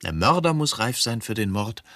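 An actor in a radio drama playing a brooding middle-aged journalist who talks evasively, philosophically and with bitterness. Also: male voice, lowish voice, sing-song, normal speed, sharp tone, heavily acted. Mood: weary, evasive. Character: brooding middle-aged journalist confessing to killing his wife.

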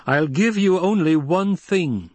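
A man voicing a defiant, coldly angry young heir in a forceful, imperious, declamatory way. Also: high voice, expressive, slow pace, dry recording, pitched above the performer's own voice, heavily acted. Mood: commanding fury.

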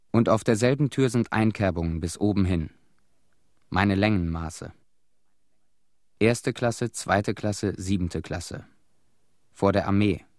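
An actor playing a haunted, quietly bitter middle-aged family man, giving deliberate testimony in a radio play. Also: fairly low pitch, no echo, clean studio sound.

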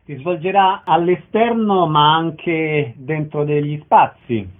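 A man's voice: fairly high pitch, takes it slowly. A man speaking as a radio interviewer, putting questions to a guest. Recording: narrow-band telephone-like radio sound.

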